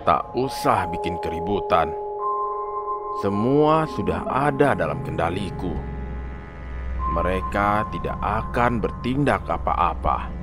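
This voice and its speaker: A slow, low-voiced false god from a horror cartoon, acted by a man. He is cold and imperious, proclaiming himself with solemn command over loud music. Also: expressive. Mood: calm, commanding. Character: sinister false messiah claiming godhood, commanding and cold. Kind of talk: horror animation dubbing.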